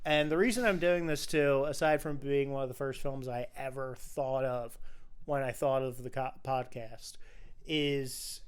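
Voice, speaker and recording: A man's voice: mid-range voice, fairly even intonation, a little slow, clear, resonant tone. A young man hosting a casual film podcast, talking in an enthusiastic, rambling way. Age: young adult. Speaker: podcast host.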